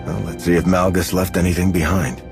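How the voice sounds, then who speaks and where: Resolute military hero, very low voice, authoritative, game dialogue, clear background music. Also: male voice, flat delivery, brisk. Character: resolute male war hero commander. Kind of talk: video game voice acting.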